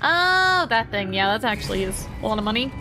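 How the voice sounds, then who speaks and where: A woman's voice: fairly high pitch, big pitch swings, brisk, over music, low-quality recording. A young woman streaming a game, chatting playfully with her chat as she plays. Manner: playful, chatty, talks to chat while playing. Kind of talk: casual gameplay commentary to chat.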